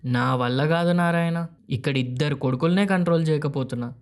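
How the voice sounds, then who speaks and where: A man's voice: on the high side, brisk, pitched above the performer's own voice, natural voice. Man acting older village father, Telangana Telugu accent, exasperated, weary, complaining, rustic storybook character.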